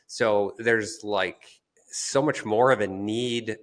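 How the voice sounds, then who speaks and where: A man co-hosting a podcast, explaining conversationally in a measured way, sometimes searching for words. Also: fairly low pitch. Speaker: podcast co-host.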